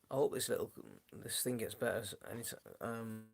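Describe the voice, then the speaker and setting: A man hosting a gardening channel, chatting casually on a live stream from his home, his voice going hoarse, with a rambling, friendly way of talking. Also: mid-range voice, a bit bright. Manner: rambling, many restarts, chatty and friendly toward viewers. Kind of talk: casual live-stream chat answering viewers. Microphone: phone.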